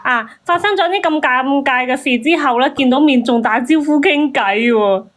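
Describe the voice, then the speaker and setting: A woman's voice: sits high, moderate pace, fairly bright tone. A woman with a Cantonese accent in casual, teasing panel banter over a slightly noisy call.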